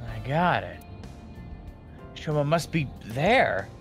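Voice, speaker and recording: A man's voice: on the high side, very animated, slow pace, gravelly, over music, exaggerated voice. A man playing an anxious, earnest young male detective in an amateur video game fandub. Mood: determined.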